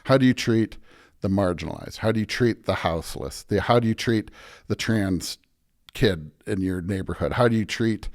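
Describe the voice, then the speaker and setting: Guy pastor chatting on a podcast, lowish voice, earnest and emphatic, kinda preachy cadence. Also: normal speed, a little mellow. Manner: earnest, emphatic, builds with repeated rhetorical questions. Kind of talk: conversational interview talk with preacherly stretches.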